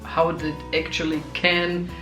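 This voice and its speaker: A young man who did not grow up speaking tells personal stories in an earnest, halting way, often stopping to restart. His voice sits a little high for a man. He is recorded in an echoey room with soft music playing underneath. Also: completely flat delivery. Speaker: co-host. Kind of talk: casual chat about relationships.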